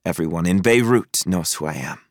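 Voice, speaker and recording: A man voicing a composed, forceful Lebanese woman with formal, deliberate phrasing in an audiobook. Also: low voice, creaky, no room sound, studio quality. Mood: proud, cool. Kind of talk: audiobook character voice.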